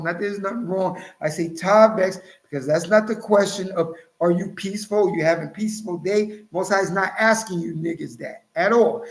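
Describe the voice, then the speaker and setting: A man's voice: sits high, reverberant, rough recording. A man preaching and reading scripture in a lecturing, emphatic way, now and then jumping into mocking voices. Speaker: Hebrew Israelite teacher.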